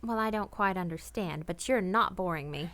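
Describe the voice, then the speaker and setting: Actress playing a reserved, quietly smitten wife. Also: female voice, lowish voice, moderate pace, close to an everyday voice. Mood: politely interested. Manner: polite, hesitant, restrained. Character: reserved, proper married woman, quietly smitten and conflicted. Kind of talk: radio play romantic drama acting.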